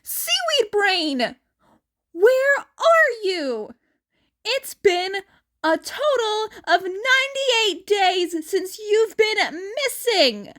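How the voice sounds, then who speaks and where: A woman's voice: very high-pitched, sing-song, extremely slow, a bit bright, pitched above the performer's own voice, full character voice. A woman voicing an anxious, emotional teenage girl who speaks emphatically in a fan fiction story. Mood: frantic, scolding. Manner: exclaiming, emphatic.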